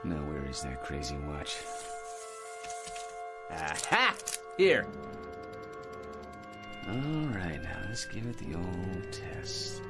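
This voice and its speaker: An actor playing a brash, boastful American dreamer with cocky hustler patter in a theatrical radio drama style. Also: male voice, sits low, sing-song, takes it slowly, pauses often, loud music behind the voice, full character voice. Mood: smug scheming. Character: brash, boastful man, a scheming big-talking dreamer.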